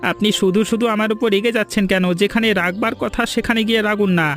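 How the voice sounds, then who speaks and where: A meddling cartoon shopkeeper, acted out by a man, with a very high male voice. He wheedles and insinuates at a fast clip, with music behind him. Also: fairly even intonation, a bit bright. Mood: nosy, placating. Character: meddling gossipy village shopkeeper stirring family trouble. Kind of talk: animated cartoon story dialogue.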